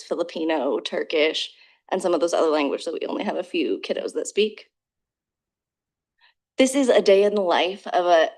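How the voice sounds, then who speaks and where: A woman, an English learner specialist, presenting to a school board in a soft, chatty way with small slips. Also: fairly low pitch. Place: board meeting room.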